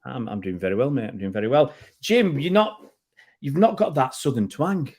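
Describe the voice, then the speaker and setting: A man's voice: medium pitch, lively intonation, normal speed, a little mellow. A middle-aged man from England hosting a casual football chat, chatty and prone to repeating words as he goes. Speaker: fan channel host.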